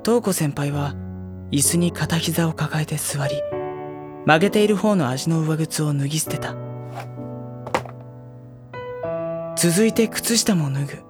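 Woman as a low-pitched, boyish schoolboy. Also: moderate pace, over music, lightly acted. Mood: quiet narration. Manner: boyish, dry. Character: teenage schoolboy, polite, blunt, exasperated junior and narrator. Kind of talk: Japanese audio drama acting with narration.

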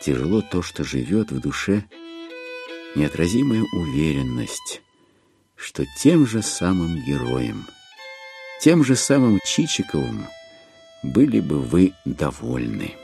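An actor playing a solemn, philosophizing author who narrates a literary audio drama in a declamatory manner with weighty pauses. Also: male voice, sits low, huge pitch swings, vocal fry, mellow, faint music behind, full character voice. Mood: solemn, brooding. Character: solemn, philosophizing male author musing on human passions.

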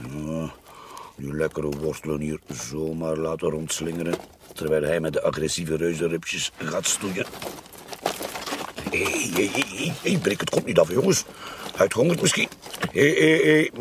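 An actor playing a jovial, gruff middle-aged father with a Flemish accent and an animated, chummy way of talking in a radio drama. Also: rumbling low, noisy background. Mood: playful, doting. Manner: animated, colloquial, talks chummily. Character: jovial, gruffly cursing family father, dismissive of worry.

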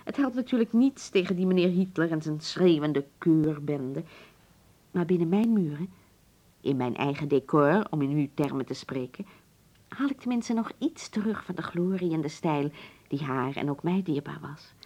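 Husky, low-voiced genteel hotel proprietress. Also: female voice, lively intonation, dark tone. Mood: confiding, dignified. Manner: formal, stately storytelling with dramatic pauses. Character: genteel, nostalgic Berlin hotel proprietress of the old school. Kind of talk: Dutch radio drama acting.

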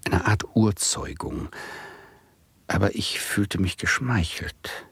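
An actor playing an excitable, boastful small-time speculator who tells his own story in a radio play, husky and theatrical. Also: fairly low pitch, takes it slowly, no echo, heavily acted. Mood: wry narration. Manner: theatrical, self-important. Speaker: narrator and lead actor. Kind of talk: 1970s German radio play, first-person narration and dialogue.

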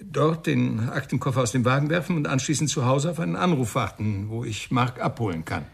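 A man playing a shaken father in a German radio crime drama, speaking in a hesitant, halting way. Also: mid-range voice, expressive, gravelly, breathy edge.

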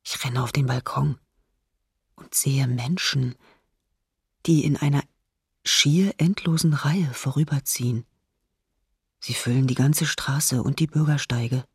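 An actress playing a grave, intense eyewitness giving testimony in a documentary radio drama. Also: female voice, extremely low pitch, slow pace, pauses often, slightly rough, no room sound, studio quality, exaggerated voice. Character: eyewitness recalling endless crowds, grave, intense.

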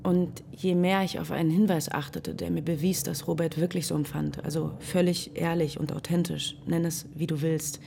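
A reflective young woman looks back on her life in slow, even radio drama narration. Her lowish voice carries a gravelly fry, and a faint hiss sits behind it. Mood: pensive. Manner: calm, measured, introspective. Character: reflective young woman who trusts a dating program. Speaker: narrator and main character.

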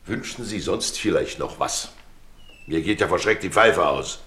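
An actor playing a jovial middle-aged ex-actor innkeeper in a German radio play, declamatory, pompous and playful. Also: male voice, deep voice, animated, unhurried, fairly bright tone, slight background noise. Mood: ironic.

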